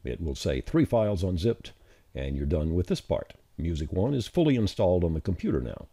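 A man narrating a scripted software tutorial, explaining things plainly step by step. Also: low-pitched, sing-song, brisk, husky, no room sound, clean studio sound. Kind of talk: scripted instructional screencast narration.

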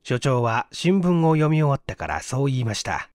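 A man narrating a mystery story as an audiobook, in a measured, literary and dramatic storytelling manner. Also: medium pitch, very animated, a little mellow, dry recording, clean studio sound. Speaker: audiobook reader, stage actor.

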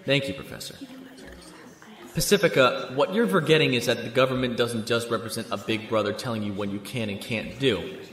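A man's voice: mid-range voice, a little fast, a bit bright, lightly acted. An actor playing an earnest young male student who debates in an articulate, explanatory way in an audio drama. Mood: earnest, reasoned. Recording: echoey hall reverb with music.

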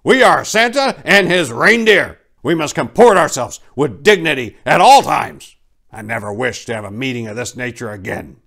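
A man's voice: mid-range voice, very animated, moderate pace, vocal fry, a bit bright, pitched above the performer's own voice, heavily acted. An indignant Santa Claus, acted out by a man, laying down the law with pompous authority. The voice swoops widely as he issues stern, bossy commands in a storybook tale.

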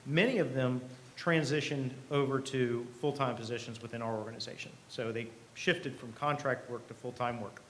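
A man's voice: medium pitch, fairly even intonation, normal speed, hardly any pauses. Middle-aged man giving keynote, noisy room. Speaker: company president, keynote speaker. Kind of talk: conversational keynote speech. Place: event hall stage. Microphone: podium microphone. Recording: live podium speech with clear background noise.

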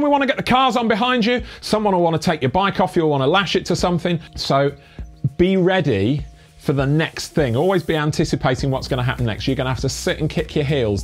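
A young man from Britain talking to camera on a lapel mic, giving brisk, earnest advice with dry asides. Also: on the high side. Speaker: family cycle touring vlogger. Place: indoor room with brick wall and bikes.

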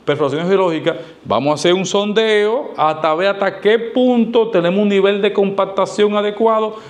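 Emphatic higher-voiced man explaining. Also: normal speed. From Dominican Republic. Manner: emphatic, didactic, with rhetorical questions and repetition. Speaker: architect giving advice. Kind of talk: explanatory talk to camera. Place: small indoor studio, seated. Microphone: lavalier clipped to shirt.

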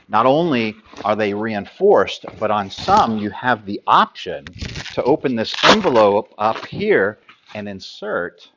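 A man's voice: lowish voice, fairly bright tone, muffled recording, slight background noise. Middle-aged man explaining gear with ums. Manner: practical, hands-on, many ums and uhs while handling gear. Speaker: sailing gear expert. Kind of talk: product explainer.

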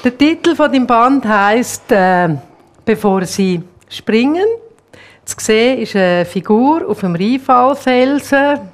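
A woman in her thirties with a Swiss German accent, hosting a studio interview and asking lively questions. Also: fairly high pitch, takes it slowly. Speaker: host. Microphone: lapel.

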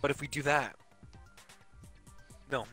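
A man's voice: mid-range voice, slow pace, pushed and bright, faint music behind. A man reading game dialogue in a jokey, loose amateur fan dub with friends.

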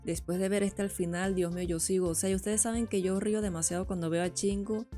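A woman's voice: mid-range voice, fairly even intonation, quick pace, mellow, faint music behind. A woman, chatting casually into a headset mic while she reacts to a video and adds her own comments. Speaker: reaction streamer.

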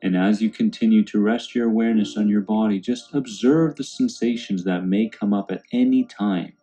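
Low, mellow man guiding a meditation. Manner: calm, soothing, unhurried instruction. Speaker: meditation guide. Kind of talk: guided meditation narration. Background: background music.

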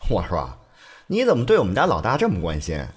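A man's voice: on the low side, huge pitch swings, quick pace, a little hiss or hum. A man acting a cheeky, gossipy young gang underling in an audio drama, chatty and teasing. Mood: nosy, teasing.

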